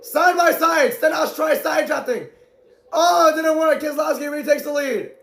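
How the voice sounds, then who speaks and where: Excitable amateur male race caller, high voice, hoarse from shouting, echoey room. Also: moderate pace. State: voice going hoarse from strain. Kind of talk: excited live play-by-play commentary.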